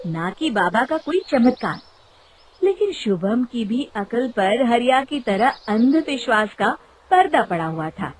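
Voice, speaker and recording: A woman's voice: medium pitch, darkish tone, a little hiss or hum. A woman reading scripted narration for a moral storybook cartoon.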